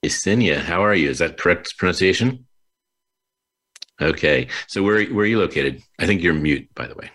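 A man with a low voice hosts a conversational interview show over a video call. He is chatty and effusive with the people he talks to. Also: expressive, moderate pace, fairly bright tone. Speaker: show host.

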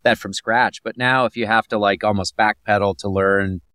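A man's voice: lowish voice, fairly even intonation, moderate pace, bright, forceful tone, clean tone, no room sound, studio quality. A man hosting an interview podcast, chatty and friendly, with teasing asides. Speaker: podcast host. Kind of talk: casual interview conversation.

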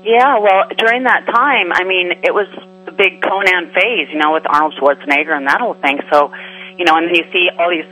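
A woman stunt performer chats as a radio guest in a bright, sharp voice. She is upbeat and breezy and laughs easily as she tells her story. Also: lowish voice, a little fast, rough recording. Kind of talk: casual radio interview.

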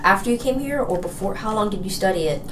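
A woman's voice: medium pitch, animated, fast talker, a little hiss or hum, poor audio. A woman working as an interviewer, asking questions in a casual conversational style.

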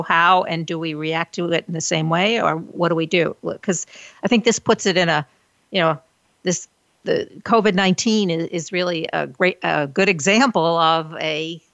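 Deep, gravelly-voiced woman interviewer. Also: fairly bright tone, no room sound. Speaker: interviewer, host. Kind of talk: remote interview. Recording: dull, dry remote audio.

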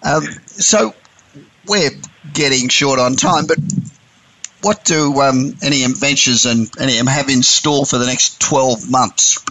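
A man's voice: mid-range voice, measured pace, pushed and bright, slight background noise, poor audio. A man hosting a business radio show, asking questions and giving brief acknowledgements with friendly teasing. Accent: non-standard regional.

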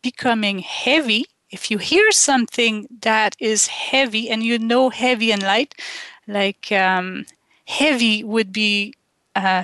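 A woman's voice: medium pitch, no room sound. Woman, Quebec French accent, radio host, hesitant, restarts, dry studio sound. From Quebec, Canada. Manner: halting, many ums and restarts, soft laughs. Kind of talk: slow, hesitant call-in radio talk.

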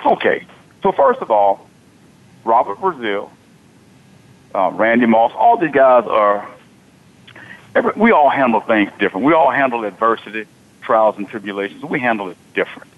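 Animated forty-something man on tinny radio. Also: medium pitch, normal speed, long pauses. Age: 40s. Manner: animated, repeats himself, colloquial. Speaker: radio show host. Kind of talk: sports talk radio chat. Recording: tinny phone-like radio audio.

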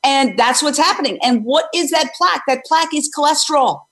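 High-voiced, energetic woman in her sixties. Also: fairly quick. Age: 60s. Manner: emphatic, highly energetic, asks and answers own questions. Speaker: host; former news reporter and animal rights activist. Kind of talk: energetic interview and advocacy talk.

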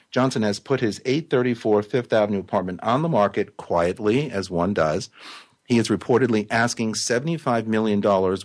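A man hosting a live talk radio show, his voice hoarse and raspy, reading news items and chatting with a guest. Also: lowish voice. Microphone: studio microphone.

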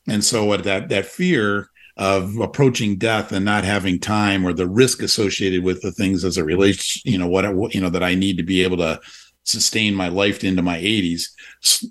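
A man's voice: deep voice, fairly bright tone. A man in his sixties hosting a radio talk show, speaking reflectively with frequent restarts and fillers. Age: 60s. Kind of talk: casual conversation.

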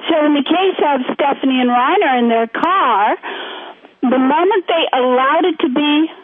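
A woman's voice: high-pitched, normal speed, no room sound. A woman co-hosting a call-in radio show, speaking earnestly and encouragingly to listeners and callers. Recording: tinny phone-line sound.

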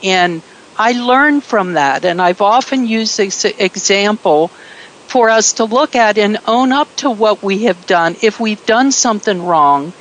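A middle-aged woman in a reflective conversation, talking thoughtfully with frequent pauses and fillers. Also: mid-range voice, animated, poor audio. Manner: slow, hesitant, with many fillers.

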